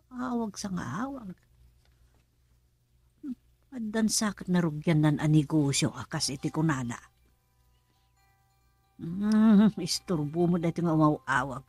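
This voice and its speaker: A woman's voice: fairly low pitch, breathy edge, no echo, exaggerated voice. A woman acting a flustered, suspicious village mother in a rural comedy radio drama, exclamatory and scolding. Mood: grumbling.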